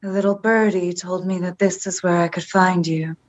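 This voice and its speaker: A woman playing a flirtatious, scheming femme fatale in a noir parody radio play, slow and teasing. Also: lowish voice, level tone, muffled recording, close to an everyday voice. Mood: coy.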